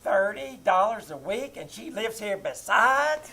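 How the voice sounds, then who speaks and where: A woman playing a sly, deadpan older mother-in-law in a live 1940s-style radio comedy. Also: mid-range voice, a little slow, husky, full character voice.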